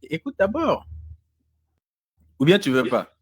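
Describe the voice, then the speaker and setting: A man's voice: a little high, huge pitch swings, slow. A man in a live online debate who mocks his opponent and keeps pressing him with questions. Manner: teasing, mocking, insistent questioning.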